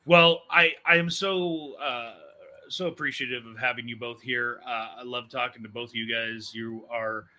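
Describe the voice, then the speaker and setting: A man's voice: medium pitch, steady tone, normal speed, bright, forceful tone. A man hosting an interview show in casual chat, with an informal, joking manner. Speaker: host of an audio drama interview show.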